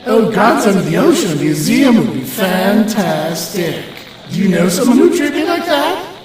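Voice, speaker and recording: A man's voice: high voice, very animated, moderate pace, very echoey, far from the mic, a crowd in the background, voice pushed up. A man playing a vain, gossipy talking suit of armor in a comic audio drama, with a campy, gushing, sassy drawl. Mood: delighted, catty. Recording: music behind the voice.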